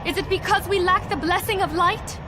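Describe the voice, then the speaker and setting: A woman's voice: extremely high pitch, fairly quick, noisy background. A woman playing an earnest young female mystic leader in a fantasy game, solemn and pleading. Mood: urgent. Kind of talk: fantasy video game acting.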